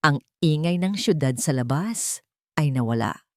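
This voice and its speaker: A woman narrates a scripted romantic story. Her voice sits low for a woman. The recording is dry, with very little room sound. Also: animated, a little slow, dry recording.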